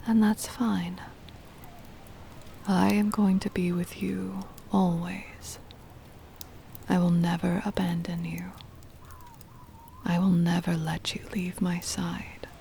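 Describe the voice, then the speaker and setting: Woman as a whispering, creaky vampire mistress. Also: on the low side, little pitch movement, mellow, a little hiss or hum. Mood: soothing, devoted. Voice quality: breathy whisper with creaky fry. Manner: slow, soothing, formal, intimate. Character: possessive, doting aristocratic vampire mistress comforting her beloved pet. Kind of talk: whispered ASMR roleplay.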